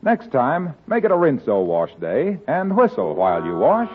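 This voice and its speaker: A man announcing and reading sponsor messages on a 1940s radio comedy show, in an upbeat sales pitch. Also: huge pitch swings, moderate pace, breathy edge. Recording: tinny old radio broadcast, faint music.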